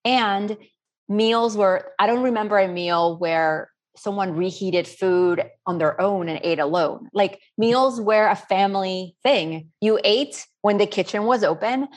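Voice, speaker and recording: A woman's voice: medium pitch, unhurried. A middle-aged woman from Spain answering interview questions in an earnest, rambling, conversational way. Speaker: interview guest, self-taught cook.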